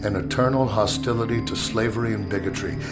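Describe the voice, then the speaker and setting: An American actor playing a formal, authoritative, stern Secretary of War in an 1865 historical audio drama. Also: male voice, lowish voice, fairly quick, over loud music, lightly acted. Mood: resolute, quiet.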